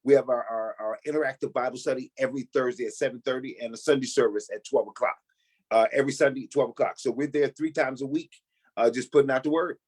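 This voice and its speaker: A man's voice: medium pitch, steady tone, fast, a little mellow. A man who is a pastor, speaking as an interview guest on a video call, emphatic and repeating words. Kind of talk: casual interview talk.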